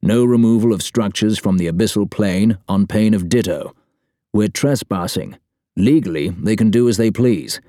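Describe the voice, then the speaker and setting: A man's voice: lowish voice, moderate pace, warm tone, no room sound, clean studio sound, close to an everyday voice. A man voicing a formal, pedantic, public school English government man in an audiobook. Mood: wary warning.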